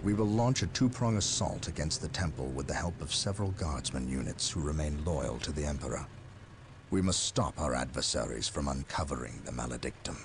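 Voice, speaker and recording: An actor playing a stern male space marine captain in a video game cutscene, speaking in a measured, commanding way. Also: extremely low pitch, animated, gravelly, noisy background, exaggerated voice. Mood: briefing.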